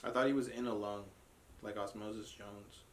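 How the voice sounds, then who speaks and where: A man's voice: lowish voice, steady tone, moderate pace, a crowd in the background. A man on a reaction panel, chatting casually with friends. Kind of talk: casual chat.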